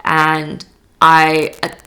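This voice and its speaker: A woman's voice: low voice, completely flat delivery, drawn-out words, sharp tone. A young woman talking candidly as a podcast guest, with lots of fillers and laughs. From Canada. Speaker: guest, yoga studio founder. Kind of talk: casual interview chat.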